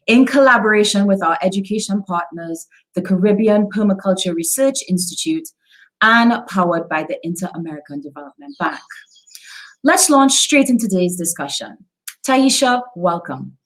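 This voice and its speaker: A woman's voice: mid-range voice, rough recording. Woman hosting a live session. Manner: measured, reads an introduction then asks long questions with fillers. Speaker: host. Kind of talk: scripted show introduction then interview.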